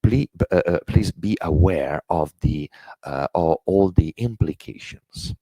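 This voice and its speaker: A man's voice: deep voice, unhurried, warm tone, slightly rough, no room sound. A middle-aged man from Italy with an Italian accent, giving an earnest webinar lecture with many fillers and restarts. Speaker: intercultural education presenter.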